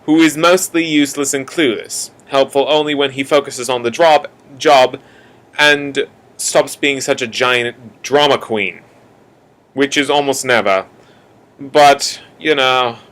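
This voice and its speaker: An earnest police-drama announcer, played by a man with an Australian accent. He narrates a radio play with theatrical weight, stretching key words for emphasis. His voice sits at a medium pitch. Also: a bit bright, close to an everyday voice. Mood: wry, confiding. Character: earnest male announcer introducing a city police force.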